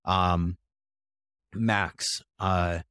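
A man around thirty speaking as a podcast guest, explaining research in a measured, conversational way with pauses between phrases. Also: low voice, flat delivery, fairly bright tone, smooth, dry recording. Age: 30s.

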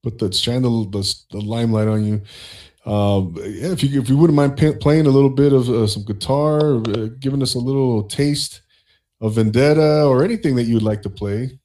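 A man's voice: medium pitch, normal speed, mellow. A man hosting a casual interview in a laid-back, encouraging way, often circling back over his words. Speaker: interviewer, host.

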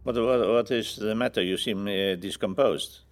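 An actor playing a fussy, observant foreign detective in an audio drama set on a train. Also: male voice, on the low side, normal speed, lightly acted. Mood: concerned.

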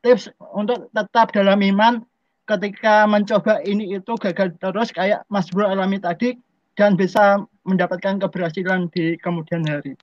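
High-pitched guy hosting, hyped and friendly, dry muffled sound. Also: fairly even intonation, moderate pace, no echo. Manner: enthusiastic, friendly questioning. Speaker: podcast host. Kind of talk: casual interview.

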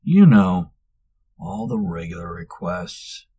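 A man voicing a sulky young man who keeps insisting on his name, with a lively, insistent delivery. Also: sits low, slow pace, husky, muffled recording, heavily acted. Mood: sulky, weary. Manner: exasperated, insistent.

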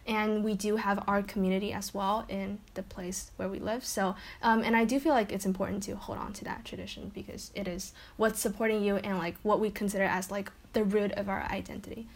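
A teenage girl from China whose first language is Chinese, co-hosting a podcast in a measured, thoughtful way. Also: medium pitch, steady tone. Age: teens. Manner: measured, thoughtful, affirming "mm-hmm". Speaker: podcast co-host. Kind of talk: casual chat.

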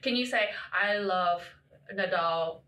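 A woman's voice: fairly low pitch, slow, pushed and bright, reverberant, far from the mic. A young Australian woman chatting casually as a podcast co-host, playful and teasing, laughing often. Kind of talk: casual chat.

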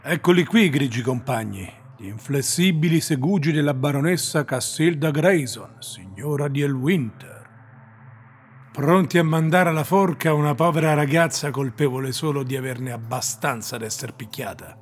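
A man voicing a swaggering male warlock who is defiant and protective, in an Italian radio drama, with a gravelly, husky voice and a mocking, combative manner. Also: mid-range voice, normal speed, natural voice.

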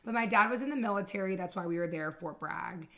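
A woman's voice: mid-range voice, fast, sharp tone. A woman in her thirties from Fayetteville, North Carolina, a fitness trainer chatting playfully as a podcast guest. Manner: playful, joking. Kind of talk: casual interview chat.

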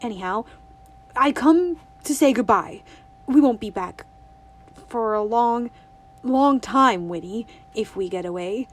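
A woman voicing an eager young country boy who talks fast in a hushed, urgent, rustic drawl in an audiobook. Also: fairly high pitch, animated. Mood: pleading. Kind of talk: audiobook reading.

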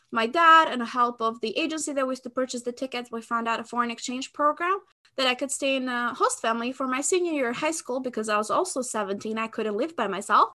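High, bright Albanian-accented young woman. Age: around 30. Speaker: podcast guest, sales expert. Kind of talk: conversational interview answers.

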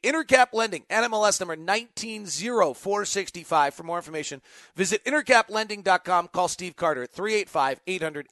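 A man's voice: high voice, fairly bright tone, dry recording. An American man hosting a casual live sports podcast, conversational and emphatic, giving his opinions as he goes. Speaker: host, basketball announcer and insider.

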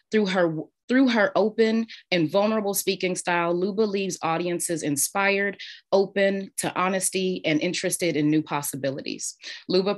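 Upbeat low-voiced woman podcast host. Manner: upbeat, scripted welcome then enthusiastic chat. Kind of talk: podcast introduction and interview.

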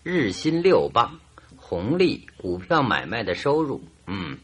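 Courteous male detective, husky, swooping intonation, musing, radio drama. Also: mid-range voice, very animated, slow pace, a bit bright, exaggerated voice. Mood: probing, musing. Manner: chuckling, polite, musing. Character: genial, courteous, inquisitive male detective who smokes a pipe.

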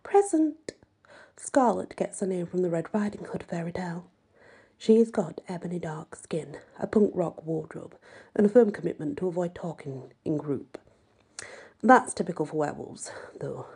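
Woman reading a story aloud, really breathy husky voice, kinda dark n mellow, sardonic. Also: lowish voice, normal speed. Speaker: audiobook reader. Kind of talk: first-person story narration.

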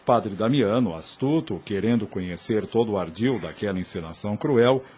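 Low-voiced Brazilian radio drama narrator, male. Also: animated, moderate pace, a little mellow, breathy edge. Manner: formal, literary. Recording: music under the voice.

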